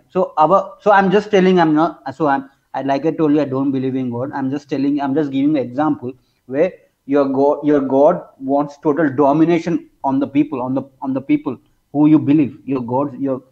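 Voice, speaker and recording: A twenty-two-year-old man from India with an Indian accent, debating casually, haltingly repeating his phrases for emphasis. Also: medium pitch, steady tone. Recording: dull lo-fi online call audio.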